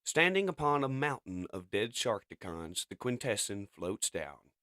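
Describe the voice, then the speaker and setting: A man's voice: lowish voice, big pitch swings, unhurried, sharp tone, no room sound, studio quality. A man narrating a comic as scripted drama in a theatrical, emphatic way.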